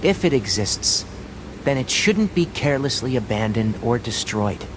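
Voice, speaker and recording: A man voicing an earnest, reserved young forest watcher in a video game, speaking in a measured, articulate, matter-of-fact way. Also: medium pitch, animated, very noisy, close to an everyday voice. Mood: earnest, reflective. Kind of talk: scripted video game voice acting. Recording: game audio with music behind.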